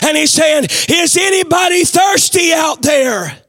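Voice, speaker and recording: A man preaching a church sermon, shouting in a rising sing-song cadence with repeated calls to the congregation. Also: very high-pitched, expressive, measured pace, faint music behind. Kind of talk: shouted, chanting revival sermon. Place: church service.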